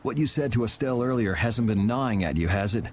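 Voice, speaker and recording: An actor playing a cool, wry young guild vigilante in a video game, speaking in a dry, casual, sardonic way. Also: male voice, on the low side, expressive, fast, slight background noise, natural voice. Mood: casual, probing. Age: young adult.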